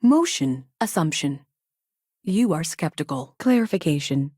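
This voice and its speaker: A woman's voice: low-pitched, big pitch swings, measured pace, darkish tone, slightly rough, no echo, clean studio sound, heavily acted. A woman playing a self-aware space probe AI in a video game, speaking in a clipped, literal, machine-like way with childlike earnestness. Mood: detached, logical. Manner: clipped, literal, announces each statement with a label word. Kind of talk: scripted video game dialogue.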